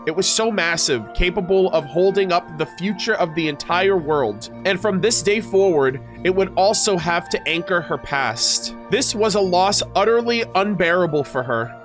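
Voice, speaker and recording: A high-pitched male streamer moves between reading story text aloud and giving casual reaction commentary. His talk is slangy and excitable. Light music plays behind his voice. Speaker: YouTube game streamer. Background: background music.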